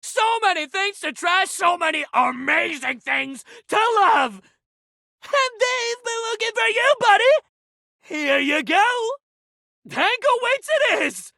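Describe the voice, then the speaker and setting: An eager, sports-mad gym buddy from a video game. The pitch leaps around in a very animated way. He talks in casual bro slang like an encouraging coach who badly wants to please, recorded dry and close. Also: takes it slowly, vocal fry, no echo, exaggerated voice. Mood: hyped up.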